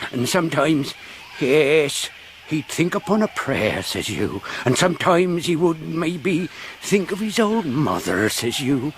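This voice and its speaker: An actor playing a half-crazed marooned old sailor in a radio drama, rambling and repeating himself. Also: male voice, mid-range voice, a little hiss or hum, lightly acted.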